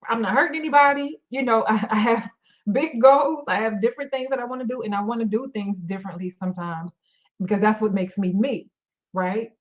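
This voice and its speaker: A woman's voice: on the high side, brisk, muffled recording. A middle-aged woman life coach on a live stream, tired and losing her voice, talking candidly with many fillers and restarts. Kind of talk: live-stream motivational talk.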